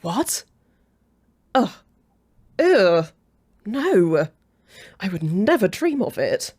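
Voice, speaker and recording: A woman's voice: fairly low pitch, animated, slow, dark tone, exaggerated voice. A woman playing a haughty, possessive aristocratic female vampire in a roleplay audio drama, flirtatious and mocking, with a condescending drawl. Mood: disgusted. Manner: drawling, taunting, chuckling condescension.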